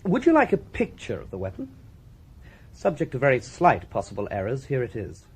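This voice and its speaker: A British forensic doctor in a radio crime drama. His husky, mid-pitched voice swoops with lively emphasis as he explains things precisely. He sounds pleased with his own cleverness and enjoys instructing. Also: fairly quick, heavily acted. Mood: relishing, didactic. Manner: didactic, precise, self-satisfied. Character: brisk, relishing male forensic doctor, theatrically pedantic.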